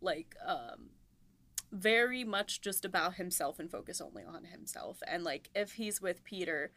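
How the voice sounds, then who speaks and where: A woman's voice: on the low side, measured pace, sharp tone. A woman co-hosting a casual podcast, talking informally and thinking aloud as she goes. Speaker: podcast host and series writer.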